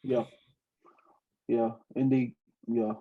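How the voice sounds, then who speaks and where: A young man from Tonga, a native Tongan speaker talking English, telling his story haltingly with many fillers and laughs. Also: medium pitch, level tone, phone-quality sound. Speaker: podcast guest, student pilot. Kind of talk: casual interview storytelling.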